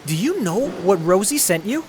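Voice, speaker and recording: A man playing a friendly, excitable starship mechanic in an audio drama. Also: sits high, normal speed, over music. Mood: amazed.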